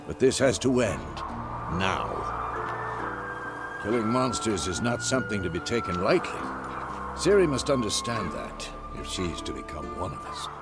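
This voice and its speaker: An actor playing a gruff, stern old male witcher mentor in a game cutscene. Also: fairly low pitch, normal speed. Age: older. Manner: stern, grumbling, lively delivery. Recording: game cutscene with loud music.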